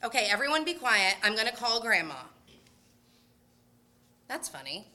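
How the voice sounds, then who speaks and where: High-voiced mother, acted by a woman, flustered, exasperated, very animated delivery, amateur radio play. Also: normal speed, heavily acted. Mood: placating, flustered. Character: flustered, forgetful mother on a family road trip. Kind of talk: amateur Christmas radio skit acting.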